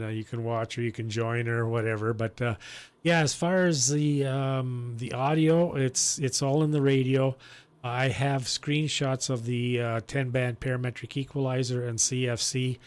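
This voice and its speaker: A man hosting a live-streamed amateur radio session, chatting casually and pausing mid-sentence, speaking into a close broadcast microphone. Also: medium pitch, clean tone.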